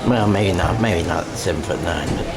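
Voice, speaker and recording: Conversational American play-by-play man over crowd noise. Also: low-pitched. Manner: conversational, halting, self-correcting. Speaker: play-by-play sports commentator. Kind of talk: live radio-style American football commentary. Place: outdoor high school football game.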